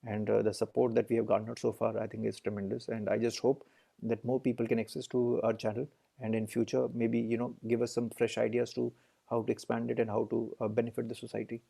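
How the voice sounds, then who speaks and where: A man with an Indian English accent, an interview guest who speaks fast and earnestly, stacking up points with plenty of fillers. His voice is gravelly and dark, with a mellow tone. He talks quickly throughout. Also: medium pitch, level tone. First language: Hindi. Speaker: lawyer, guest. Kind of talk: interview conversation.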